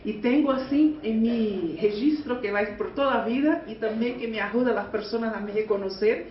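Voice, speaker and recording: A Brazilian woman, a union delegate with Portuguese as her first language, gives an earnest conference speech in halting Spanish with a Portuguese accent. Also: a little high, a little hiss or hum. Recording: echoey, distant, muffled hall recording.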